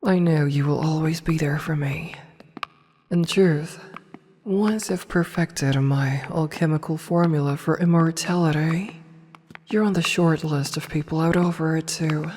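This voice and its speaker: A woman playing an imperious evil empress in a fantasy ASMR roleplay, with haughty, formal, measured speech and intimate delivery. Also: low voice, fairly even intonation, darkish tone. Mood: tender, earnest. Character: imperious evil empress, adult woman, softening toward her advisor. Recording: long reverberant hall, faint noise.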